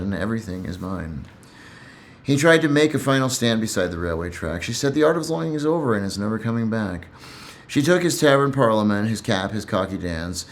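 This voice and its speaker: A man who reads and comments on poetry in a casual, reflective way, somewhat hesitant and repeating himself. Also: fairly low pitch.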